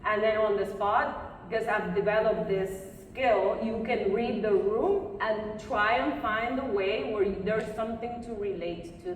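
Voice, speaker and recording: A woman public speaking coach giving a live conference talk, expressive, encouraging and direct in her advice. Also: mid-range voice. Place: conference session room before a live audience. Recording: very echoey hall, far microphone, faint noise.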